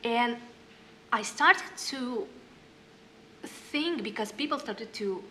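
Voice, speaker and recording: Woman speaking halting non-native English. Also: fairly high pitch, expressive, bright, forceful tone, slight background noise. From northern Kazakhstan. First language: Russian. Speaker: panelist musician. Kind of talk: panel talk with read-aloud diary. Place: hall panel stage.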